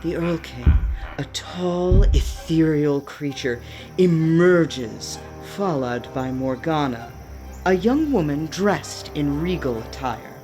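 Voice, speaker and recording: A woman's voice: deep voice, expressive, slow pace. A woman narrating a radio play from a script, setting out each scene as storyteller. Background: music.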